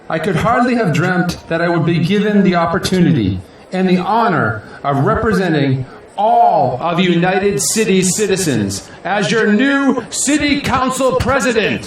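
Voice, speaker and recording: A man's voice: high-pitched, animated, measured pace. An actor playing a proud, idealistic male politician in an audio drama, giving a grandiose, earnest victory speech in declamatory rally style. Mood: humble, proud. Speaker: newly elected city council president. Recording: echoey hall, distant mic, crowd noise. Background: crowd and faint noise.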